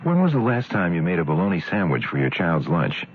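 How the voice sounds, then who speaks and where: Deep husky ad guy, very lively swings in pitch, persuasive, old tinny radio. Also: brisk, faint music behind. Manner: emphatic, persuasive. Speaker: commercial announcer. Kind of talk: scripted radio advertisement.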